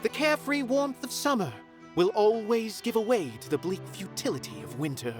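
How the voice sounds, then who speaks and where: Gloomy poetic performer, music behind. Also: male voice, sits high, very animated, normal speed. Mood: melancholy. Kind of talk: video game character acting.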